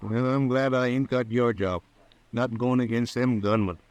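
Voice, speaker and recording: A man's voice: mid-range voice, moderate pace, close to an everyday voice. An actor playing a wary, folksy Wild West townsman in old-time radio style, on a dull recording.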